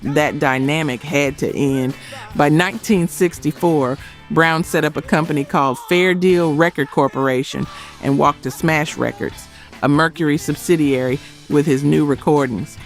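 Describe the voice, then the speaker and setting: A man with a slightly high voice narrates a music documentary from a script. He lands short phrases with dramatic emphasis, and music plays faintly behind him. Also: fairly even intonation, moderate pace. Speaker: narrator of a music history video.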